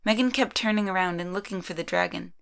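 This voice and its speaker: A woman's voice: fairly low pitch, fast talker, vocal fry, fairly bright tone. A woman narrating a scripted story in the third person.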